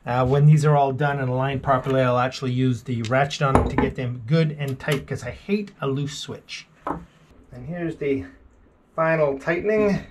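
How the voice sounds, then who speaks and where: A man's voice: medium pitch, moderate pace, slight background noise. A middle-aged man casually talking through repair tips in a small wooden boat cabin, chatty and self-deprecating. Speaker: vlogger. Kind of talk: casual how-to vlog narration.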